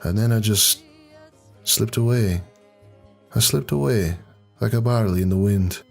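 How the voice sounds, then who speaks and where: A man playing a gruff, sardonic, world-weary hard-drinking rebel in a fan audio drama. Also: lowish voice, a little slow, soft background music. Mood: somber, reflective. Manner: sardonic, blunt.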